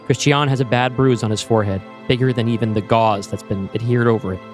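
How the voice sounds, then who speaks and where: A man narrating a scripted screenplay in the present tense, with measured, descriptive storytelling. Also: mid-range voice, steady tone, soft background music. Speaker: narrator and host.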